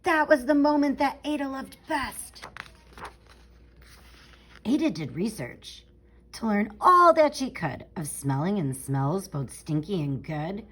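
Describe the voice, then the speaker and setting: A woman reading a rhyming children's picture book aloud in an expressive, theatrical way, stretching out key words for emphasis. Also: mid-range voice, slow pace, husky. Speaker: storybook reader.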